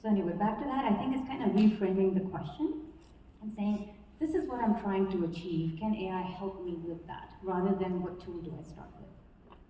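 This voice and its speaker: A woman on a panel discussion, speaking fluently and stacking her points in quick lists. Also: mid-range voice, warm tone, a little hiss or hum. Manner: fluent, listing points in a run. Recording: echoey hall, distant mic.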